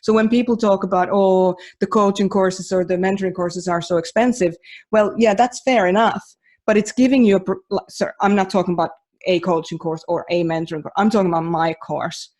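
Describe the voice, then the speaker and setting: A woman from Finland talks through her training course in a casual podcast chat. She is emphatic and lively, with frequent fillers and false starts as she builds her point. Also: mid-range voice, a little fast. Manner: chatty, emphatic, many restarts and fillers. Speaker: mentor running a training course.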